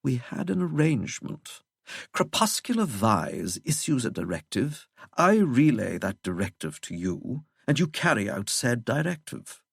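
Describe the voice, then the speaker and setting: A man voicing a smug, unflappable English gentleman villain for an audiobook, with a posh, droning, mock-courteous manner. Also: mid-range voice, very animated, unhurried, gravelly, husky, dry recording, clean studio sound, exaggerated voice. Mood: quietly menacing. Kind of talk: audiobook character voice.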